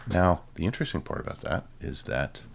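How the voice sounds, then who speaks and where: A man giving a casual, halting tech demo of a hobby project, dryly informal and self-deprecating, with a gravelly voice. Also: low-pitched, expressive, a little hiss or hum. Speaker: presenter of a hobby electronics project.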